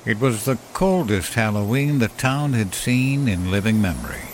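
A man's voice: fairly low pitch, expressive, moderate pace, a little hiss or hum. A man reading a horror story as scripted narration. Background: music bed.

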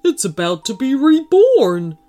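A woman voicing a big, grumbly male yeti in a children's story, speaking slowly and drawing out the words. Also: high voice, sing-song, dark tone, soft background music, pitched above the performer's own voice. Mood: realizing. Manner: slow, drawn-out delivery.

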